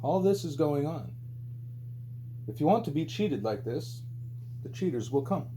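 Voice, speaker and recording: A man reading a spiritual book aloud as scripted audiobook narration, with a mellow voice. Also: very animated, a little slow. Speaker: audiobook reader. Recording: low hum and background noise.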